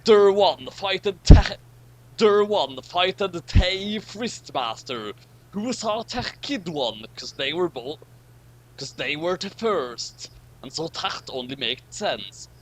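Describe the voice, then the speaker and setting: A man reads comic fan fiction in a theatrical way, with a slightly high voice that swings widely in pitch. He takes his time and stumbles over clumsy wording. There is some background noise behind him. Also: lively intonation, takes it slowly. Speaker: narrator reading fan fiction aloud. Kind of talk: dramatic comic reading of badly written fan fiction.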